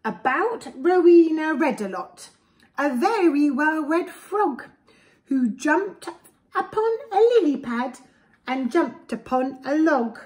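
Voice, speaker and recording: A woman in her forties with an English accent tells a story to preschoolers. Her voice is on the high side and her pace is very slow. She is expressive and deliberate, as if making sure small listeners follow each part. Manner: expressive, deliberate, with simple explanatory asides. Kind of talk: reading a rhyming picture book aloud to young children. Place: small indoor room with bookshelves. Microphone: camera a short distance away.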